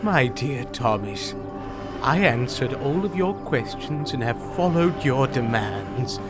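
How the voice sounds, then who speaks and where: An actor playing a calm, condescending alien villain in an audio drama, with mock politeness and deliberate delivery. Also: male voice, medium pitch, over loud music. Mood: coolly threatening.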